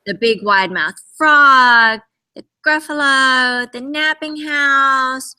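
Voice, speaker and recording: A woman's voice: high-pitched, slow pace, sharp tone, clean tone. A woman librarian hosting a read-aloud for young children, encouraging them and exclaiming as she goes.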